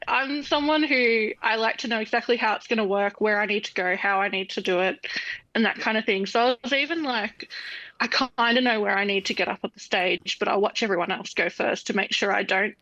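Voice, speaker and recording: A woman's voice: mid-range voice, fairly quick, sharp tone, no echo. A young woman, a guest on a podcast, chatting casually and laughing often as she tells stories. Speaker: guest artist. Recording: dull, dry sound.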